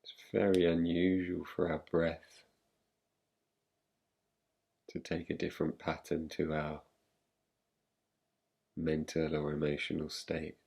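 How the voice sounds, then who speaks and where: Very deep, slow-speaking male meditation guide. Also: gravelly, husky. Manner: calm, gentle, unhurried with long pauses. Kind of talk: guided meditation, yoga nidra.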